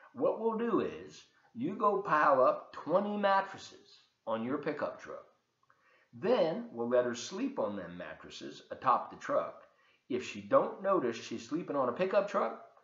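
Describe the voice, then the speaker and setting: A man's voice: medium pitch, very animated, takes it slowly, fairly bright tone. A man voicing a protective, skeptical country mother in a storybook, giving folksy advice. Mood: scheming, skeptical. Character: plain-spoken country mama, protective and skeptical. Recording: dull, roomy home webcam recording.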